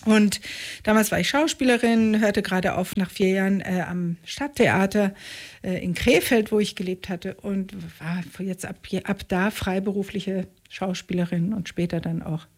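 A woman's voice: medium pitch, moderate pace. A middle-aged woman from Israel, a radio interview guest, recounting her life in a reflective way with frequent hesitations.

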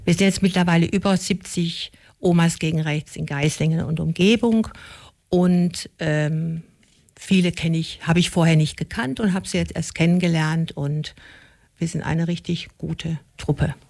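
A woman, the founder of a local group, telling her story in a casual interview with many pauses and fillers. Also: fairly low pitch, steady tone, gravelly, darkish tone. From Germany. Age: 60s.

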